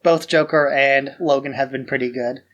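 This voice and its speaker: A man's voice: mid-range voice, steady tone, normal speed, a bit bright, dull audio. A man co-hosting a podcast, talking casually and informally about films with a fan's strong opinions. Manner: informal, opinionated fan talk. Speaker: podcast host. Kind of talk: casual film discussion.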